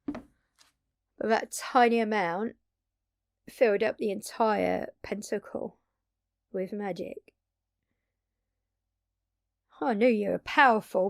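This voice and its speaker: Woman acting a slow-spoken, brash vampire woman. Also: medium pitch, gravelly, dry recording. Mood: awed. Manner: casual, expressive, sweary, dramatic pauses. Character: brash, excitable undead vampire woman, a magic-savvy fighter. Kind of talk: intimate audio drama roleplay addressed to listener.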